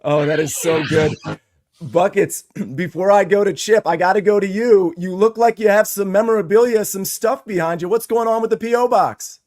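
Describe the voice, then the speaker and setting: A man's voice: high voice, moderate pace, a little mellow. Upbeat man hosting, slightly scratchy voice. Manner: upbeat, chatty, hypes the show. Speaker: host. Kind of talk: sports betting show.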